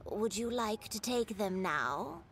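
A woman voicing an elderly granny toymaker in a video game, proud of her craft, speaking in measured, formal phrases. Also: medium pitch, expressive, a bit bright, slight background noise. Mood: polite, reflective. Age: older. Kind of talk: video game character dialogue.

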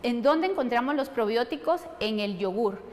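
A woman's voice: a little high, lively intonation, normal speed, a little mellow. A middle-aged woman explaining things as a nutrition expert answering questions. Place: studio set. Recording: long hall reverb.

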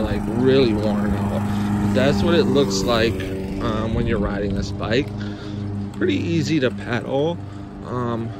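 A young adult man giving a casual, enthusiastic, unscripted review in colloquial speech. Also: mid-range voice. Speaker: vlogger reviewing vintage bikes. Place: outdoors, in a yard and driveway. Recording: outdoor handheld recording with ambient noise.

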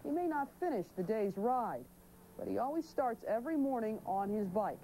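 Female field reporter, wry measured read, noisy ambience behind. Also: on the high side, sing-song, warm tone. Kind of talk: news report narration.

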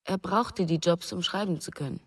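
A low-voiced, grieving young wife. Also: female voice, moderate pace, slightly rough, big-room sound. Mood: subdued, defensive. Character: grieving, restrained young mother with a missing husband. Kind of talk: German radio drama acting.

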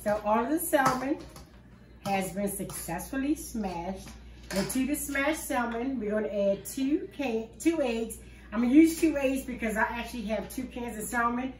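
A woman's voice: on the high side, moderate pace, slight background noise. Woman cooking in a roomy home kitchen. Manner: homey, step-by-step explaining. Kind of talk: casual cooking demonstration. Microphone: far camera on the counter. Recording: roomy kitchen sound from a distance.

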